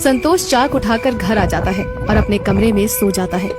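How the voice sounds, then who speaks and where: A woman's voice: mid-range voice, moderate pace. A woman telling a scripted cartoon story as its narrator. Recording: background music throughout.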